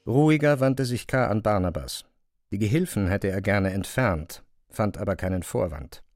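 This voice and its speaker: Guy narrating a classic novel, lowish voice, very dry close studio mic. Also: moderate pace, a little mellow, no room sound. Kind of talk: scripted literary narration.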